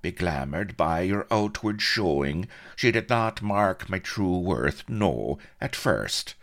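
A man's voice: fairly low pitch, big pitch swings, unhurried, fairly bright tone, voice pushed up, heavily acted. A man voicing a sly, smug Irish rogue colonel who talks in a self-justifying, wheedling way in a comic audiobook.

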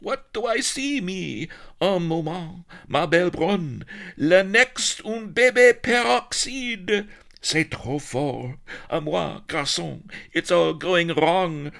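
Shrill, excitable French pirate fop. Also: male voice, slow pace, gravelly, heavily acted. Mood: aghast, hysterical. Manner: theatrical, exclaiming, mixes French into English. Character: foppish French pirate captain, excitable, easily horrified.

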